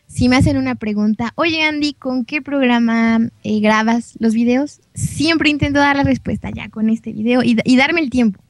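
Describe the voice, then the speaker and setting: Woman, twenties, central Mexican accent, high voice, girlish manner, casual guest chat, dry recording. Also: moderate pace, hardly any pauses, dry recording. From Puebla, Mexico. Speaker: guest, singer and voice actress. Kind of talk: casual chat on a gaming show.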